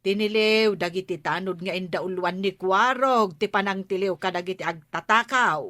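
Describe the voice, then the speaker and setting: An actress playing a chatty, inquisitive village woman in a radio comedy drama, with an animated, prodding manner. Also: mid-range voice, moderate pace, no echo, studio quality, lightly acted. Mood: curious, insistent.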